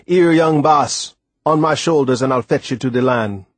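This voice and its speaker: A man voicing a hearty, reassuring old West Indian seaman in an audiobook, speaking dialect emphatically and deliberately. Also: mid-range voice, no echo, pitched above the performer's own voice, lightly acted. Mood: hearty, pleased. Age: older. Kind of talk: audiobook character voice.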